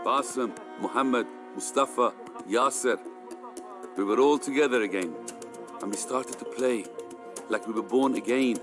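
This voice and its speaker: A man's voice: medium pitch, moderate pace. Man recalling memories over music. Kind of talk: archive testimony.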